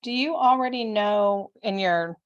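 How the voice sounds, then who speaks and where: Woman interviewer, fellow writer, casual and warm-toned chat, light laughter. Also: mid-range voice, measured pace, lo-fi sound.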